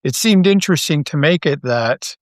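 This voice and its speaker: A Canadian man with a fairly high voice talks casually as a podcast guest. He restarts and doubles back on his words while telling stories, and the sound is close and dry. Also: dry recording. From Toronto, Canada. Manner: animated storyteller, halting self-interruptions and repeated words. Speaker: podcast guest, audio drama writer. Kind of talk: casual interview chat.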